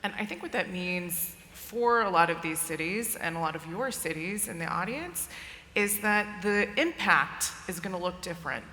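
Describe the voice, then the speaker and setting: A woman's voice: on the low side, bright, forceful tone, slight background noise. A woman speaking as a panelist in a conversational way with many fillers. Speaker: panelist, digital director. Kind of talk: conference panel talk. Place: large conference stage. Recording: roomy long reverb, faint ambience.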